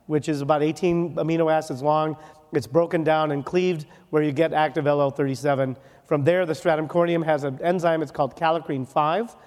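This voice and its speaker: A man with a slightly high voice delivers a conference lecture on medicine in a big hall, and the long reverb trails after his words. He is from Wisconsin and mixes quick jokes into the teaching, often tagging a point with "okay?". He sounds like he is speaking at a podium mic. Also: darkish tone. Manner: joking, rapid, ends points with "okay?". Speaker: dermatologist lecturer.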